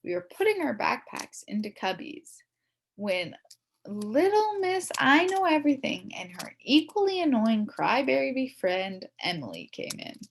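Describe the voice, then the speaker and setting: A woman reading a children's storybook aloud in an expressive, playful way. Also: high-pitched, measured pace, gravelly, slight background noise. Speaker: story reader.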